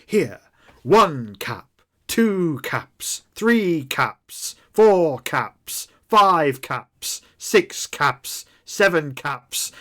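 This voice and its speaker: A man voicing a slippery, showy male drug peddler who speaks theatrically and loudly, counting in a sing-song way. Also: on the high side, big pitch swings, very slow, pitched above the performer's own voice, full character voice.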